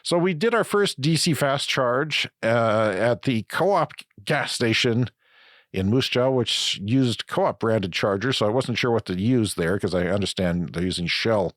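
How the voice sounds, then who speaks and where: A middle-aged man with a flu-raspy voice, co-hosting a casual podcast chat and rambling through explanations. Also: mid-range voice, animated, a little slow, a bit bright, dry recording, studio quality. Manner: rambling, self-correcting explanations. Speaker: podcast co-host.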